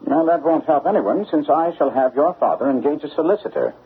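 A man's voice: a little high, lively intonation, fairly quick. An actor playing a shrewd, self-assured male detective in a classic radio drama, speaking precisely and formally. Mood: stern, explaining. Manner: precise, formal, incisive delivery. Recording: phone-like old radio recording, faint noise.

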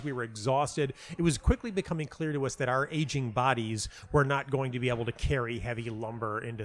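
Stammering middle-aged man telling anecdotes. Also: mid-range voice. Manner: stammering, repeats words as he goes; animatedly acts out quoted dialogue. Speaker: interview guest, playwright and audio dramatist. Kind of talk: conversational anecdotal storytelling.

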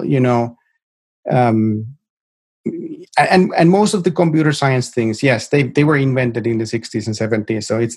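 A middle-aged man from Finland with a Finnish accent, answering informally as an interview guest in a halting, reflective way that often repeats words. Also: medium pitch. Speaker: interview guest, experienced tech professional.

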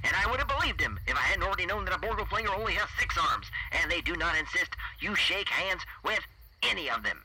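A man voicing a brash, fast-talking otherworldly thief in an audio story, with a buzzy radio-filtered voice and folksy, slangy patter. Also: high voice, sing-song, pushed and bright, breathy edge, dry recording, pitched above the performer's own voice, heavily acted, poor audio. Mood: wry, knowing.

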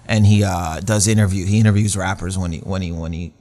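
A man's voice: deep voice, fairly even intonation, fast talker, dark tone. A man in his late thirties from Canada, a stand-up comedian telling stories in a casual interview, rambling and self-deprecating with slangy asides. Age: 30s.

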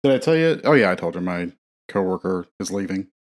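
A man's voice: deep voice, animated, fairly quick. A man co-hosting a casual movie podcast, easygoing and thoughtful, who laughs often. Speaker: podcast co-host.

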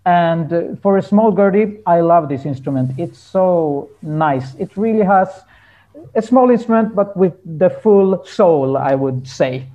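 Guy chatting in an interview, pretty high voice, feels around for words, muffled lo-fi sound. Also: expressive, measured pace, warm tone. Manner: hesitant, searching for words in non-native English. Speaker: interview guest, folk musician. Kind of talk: casual conversational interview.

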